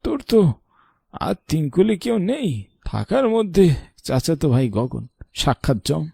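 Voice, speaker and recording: Casting: male actor as weary, protective old grandfather for a cartoon; mellow, creaky voice, sharp tongue, soft heart. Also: fairly high pitch, very animated, moderate pace, no echo, heavily acted. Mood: weary, worried. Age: older. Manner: scolding, then tender storytelling. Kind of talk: cartoon voice acting.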